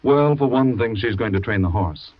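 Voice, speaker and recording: An actor playing a husband who pushes a bitter poisoned tonic on his wife, falsely gentle and then bullying. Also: lowish voice, expressive, moderate pace, close to an everyday voice. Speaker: radio drama actor. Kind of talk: old-time radio acting. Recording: dry, dull old radio recording.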